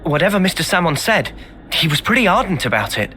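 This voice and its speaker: An actor playing a thoughtful, sincere young soldier in a game cutscene. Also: male voice, high voice, very animated, fast talker, bright, forceful tone, a little hiss or hum, full character voice. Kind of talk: video game cutscene acting.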